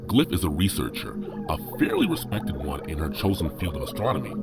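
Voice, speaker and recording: A man's voice: rumbling low, huge pitch swings, a little fast, creaky. A man delivering scripted dramatic narration in a solemn manner. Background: music.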